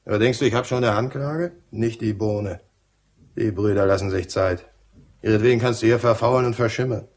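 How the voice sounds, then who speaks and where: An actor playing a cynical, gruff prison cellmate in a radio drama, with a colloquial, mocking, know-it-all manner. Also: male voice, on the low side, a little fast, darkish tone, natural voice. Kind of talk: German radio drama acting.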